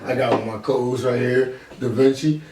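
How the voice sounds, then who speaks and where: Man, casual slangy podcast host, mid-pitched, distant mic, roomy echo. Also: flat delivery, a little fast, noisy background. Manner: slangy, informal. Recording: echoey room, off-mic.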